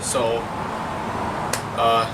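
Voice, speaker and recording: A man's voice: medium pitch, monotone, extremely slow, background noise. A young man with a cold, sounding congested, casually explaining his boat project in a rambling vlog full of fillers. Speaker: vlogger.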